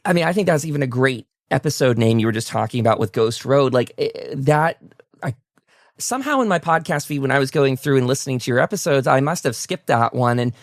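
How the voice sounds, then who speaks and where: A man's voice: medium pitch, lively intonation, brisk, no echo, studio quality. A man hosting a podcast interview in casual, enthusiastic chat, rambling a little as he thinks aloud. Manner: enthusiastic, complimentary. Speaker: podcast host interviewing a guest.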